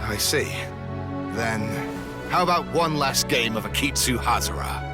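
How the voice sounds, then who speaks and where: A hearty yokai chief voiced by an actor for a game cutscene, speaking slowly and with big melodic swings. The leader is commanding yet friendly and fun-loving, and loud music plays behind the voice. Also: medium pitch, animated, lightly acted. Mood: subdued. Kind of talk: animated game cutscene voice acting.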